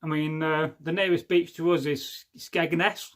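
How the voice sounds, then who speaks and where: A man's voice: a little high, steady tone, unhurried, a bit bright. A man hosts a casual livestream, chatting loosely and joking as he reads out viewers' comments. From England. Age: 40s. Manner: rambling and jokey, often losing his thread. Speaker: livestream host.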